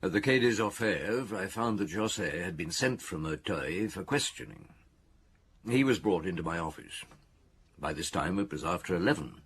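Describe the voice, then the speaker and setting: A man acting in a radio drama as a grave, methodical police chief inspector, speaking in a measured, formal, probing way. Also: deep voice, lively intonation, fairly bright tone, husky, heavily acted. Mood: reflective.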